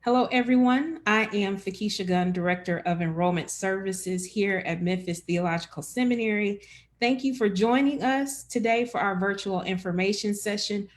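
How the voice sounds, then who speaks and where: A woman's voice: medium pitch, normal speed. A woman hosting a live-streamed information session as enrollment director, friendly and chatty with many fillers.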